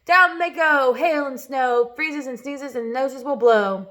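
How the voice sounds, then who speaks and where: Shouting, high-pitched cloud man, done by a woman. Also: takes it slowly. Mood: gleeful chanting. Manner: shouting, chanting. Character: gleeful, shouting gang of wispy cloud men.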